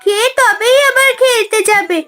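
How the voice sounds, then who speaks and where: A woman's voice: very high voice, steady tone, normal speed, sharp tone, soft background music. A woman voicing an innocent little village girl in a cartoon, with an eager, childlike manner. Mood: pleading. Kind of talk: cartoon dialogue.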